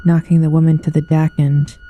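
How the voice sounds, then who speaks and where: A woman reading a scripted horror story aloud as narrator, in a solemn, measured storytelling manner. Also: low-pitched, level tone, warm tone, faint music behind.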